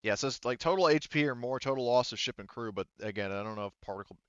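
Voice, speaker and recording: A man's voice: medium pitch, fast, fairly bright tone, smooth, no room sound. A man working as game master in a casual tabletop session, thinking aloud informally with some swearing on a slightly dull close microphone. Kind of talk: casual tabletop game narration and rules talk.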